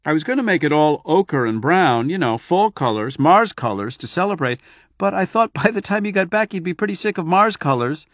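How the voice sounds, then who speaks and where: A man's voice: fairly high pitch, big pitch swings, dry recording, highs cut off, voice pushed up. A man putting on a chattering eager wife. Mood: eager chatty. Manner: chattering, quick, affectionate. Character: warm, eager wife, adult woman, chatty.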